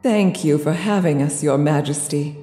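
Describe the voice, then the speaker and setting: A woman's voice: sits low, normal speed, mellow, natural voice. A woman playing an anxious, loving mother in a horror audio drama, tender and earnest. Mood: deferential. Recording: echoey hall with music behind.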